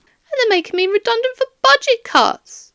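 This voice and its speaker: A woman's voice: very high-pitched, animated, moderate pace, gravelly, pushed and bright, no echo, clean studio sound, voice pushed up, full character voice. A woman voicing a flustered, doting older woman who works at a museum, in a rambling, plaintive and baffled manner, for an audiobook. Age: 60s.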